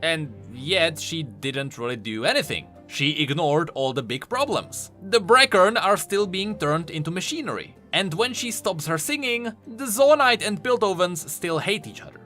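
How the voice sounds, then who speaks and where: A man's voice: high voice, animated, normal speed, few pauses, pushed and bright, faint music behind. A man giving scripted, explanatory video commentary on game lore, stressing key words emphatically.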